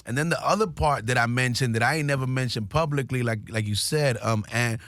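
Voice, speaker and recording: A man, a guest in a studio, telling stories of his youth in a relaxed way full of "you know" and "like". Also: mid-range voice, a little fast, no room sound. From New York, USA. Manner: laid-back, many "you know" and "like" fillers. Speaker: guest, business founder. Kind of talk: casual storytelling.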